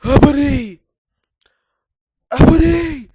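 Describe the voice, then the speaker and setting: Man putting on a raspy evil dark wizard villain. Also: very high-pitched, takes it slowly, muffled recording, slight background noise, pitched above the performer's own voice. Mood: commanding shouting. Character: evil noseless male dark wizard villain, menacing, archaic. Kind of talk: theatrical fan-fiction reading.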